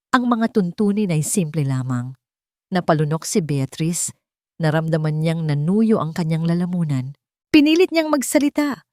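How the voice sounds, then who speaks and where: A woman's voice: low voice, a little mellow, no room sound, studio quality. A woman storyteller reading a scripted, dramatic romance narration.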